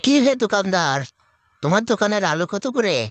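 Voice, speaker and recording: A man's voice: high voice, animated, a little fast, a bit bright, a little hiss or hum. A man playing a stingy, hot-tempered village husband in a cartoon, indignant and argumentative. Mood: haggling. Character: hot-headed, stingy village husband who haggles and snaps at everyone. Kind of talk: comic cartoon acting in Bengali.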